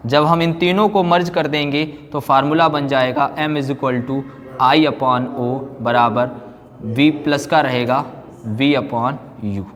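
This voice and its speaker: A man's voice: mid-range voice, steady tone, takes it slowly, a bit bright. A man teaching physics in a didactic way, often asking questions and answering them himself. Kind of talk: classroom lecture, explaining formulas. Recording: echoey hall-like reverb.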